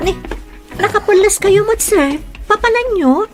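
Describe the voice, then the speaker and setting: A woman's voice: very high voice, expressive, unhurried, faint music behind. An actress with a northern Philippine accent playing a cheerful, caring young female caregiver who teases and encourages in a radio drama. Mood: teasing, surprised. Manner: teasing and encouraging.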